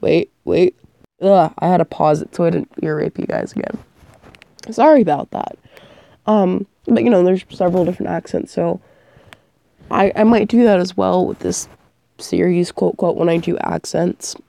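A young woman audio performer chats in a loose, rambling way, drifting off her train of thought. She is sick, and her voice is mellow with a gravelly fry. The sound is close and completely dry. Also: lowish voice, moderate pace, dry recording. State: sick, coughing. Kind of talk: casual rambling chat.

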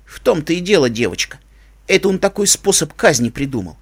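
A man's voice: fairly high pitch, very animated, brisk, husky, no room sound, exaggerated voice. A man voicing an old, weary, grave grandfather pony who speaks in a grim colloquial way for a storybook.

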